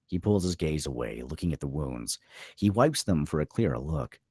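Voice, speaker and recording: Very deep husky male script narrator. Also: animated, moderate pace, dark tone, no echo, clean studio sound. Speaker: narrator reading screenplay directions. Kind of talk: scripted narration at a film table read.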